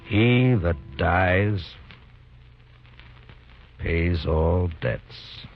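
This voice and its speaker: A man's voice: low voice, expressive, very slow, creaky, heavily acted. An actor playing a stern but compassionate frontier lawman, terse and somber, in a 1950s radio western. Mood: somber narrating. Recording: very muffled vintage radio recording. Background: music.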